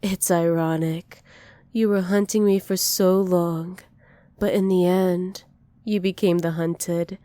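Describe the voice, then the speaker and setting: A woman playing a dominant, possessive villain who dotes on a captive, softly menacing and teasing in an ASMR roleplay. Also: fairly low pitch, steady tone, takes it slowly, no echo, studio quality. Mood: smug, affectionate. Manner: soft, teasing menace with breathy whispered endearments.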